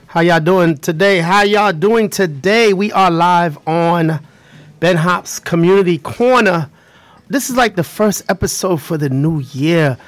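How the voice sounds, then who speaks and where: High-pitched male radio host, effusive. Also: a little slow, no room sound. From Haitian background. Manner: effusive, repeats phrases. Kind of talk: casual live radio hosting.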